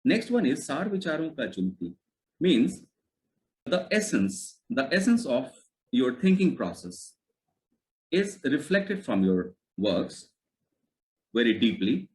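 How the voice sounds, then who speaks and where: A man's voice: medium pitch, very animated, takes it slowly, long pauses, rough recording. A man, a Hindi speaker, interviewing and thanking his guest in a polite, formal way. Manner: polite, formal, many soft affirmations.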